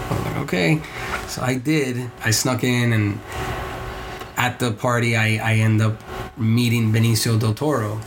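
A man with a Puerto Rican accent, a podcast guest telling a story casually, with many restarts and voiced quotes of others. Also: noisy background. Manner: rambling with frequent restarts, voices others in quotes with higher pitch.

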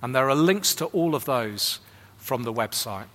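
A man's voice: medium pitch, expressive, moderate pace, a bit bright, big-room sound. A man preaching a sermon in an earnest, urging way, asking the listeners many questions. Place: church hall.

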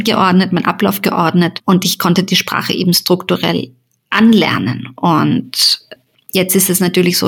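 Husky-voiced woman hosting a podcast. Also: on the low side. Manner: thoughtful, searching, with many fillers. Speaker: podcast host and journalist. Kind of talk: personal reflective conversation.

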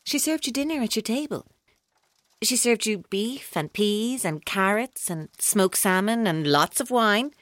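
An actress playing a controlling, taunting female captor in an audio drama, speaking in a deliberate, coaxing way. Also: mid-range voice, lively intonation, dry recording, studio quality, natural voice. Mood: accusing, controlled. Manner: deliberate, coaxing, coldly mocking. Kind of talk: audio drama acting, monologue-like.

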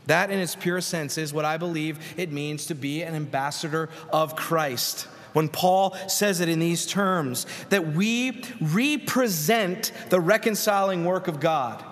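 A middle-aged man preaches a sermon in a church sanctuary, telling a story earnestly with pauses and repeated words. His voice sits somewhat high for a man. The hall around him lets each phrase trail off in a long reverb. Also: fairly bright tone. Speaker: pastor.

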